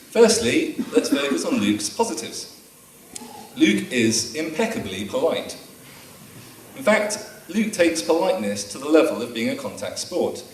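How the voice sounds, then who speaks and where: A man's voice: medium pitch, noisy background. A man giving a best man's speech at a wedding, with dry, teasing humour read from his prepared notes. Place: wedding reception room. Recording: echoey room taped from far off.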